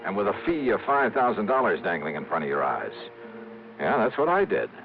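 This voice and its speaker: An actor playing a wry, skeptical American insurance investigator in clipped 1950s noir radio style. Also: male voice, lowish voice, very animated, brisk, creaky. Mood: wry narrating. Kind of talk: 1950s noir radio acting and first-person narration. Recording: dull old radio recording, music behind.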